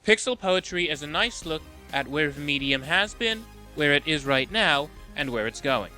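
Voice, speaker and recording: A man's voice: on the high side, expressive, normal speed, sharp tone, soft background music. A man hosting and narrating a scripted video essay in an opinionated, sardonic manner. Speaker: host of a video game movie list show.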